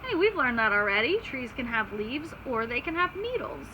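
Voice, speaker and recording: High, animated woman reading to children. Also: normal speed, rough recording. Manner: instructive, asks listeners questions, simple explanations. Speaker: teacher reading aloud to children. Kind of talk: children's read-aloud with explanatory asides. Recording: clear background noise.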